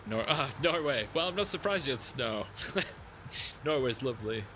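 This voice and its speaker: Male game streamer, relaxed chatter, gravelly edge, engine noise behind. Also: medium pitch, moderate pace. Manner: relaxed, chatty, answers chat between driving comments. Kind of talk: casual live-stream chat while playing.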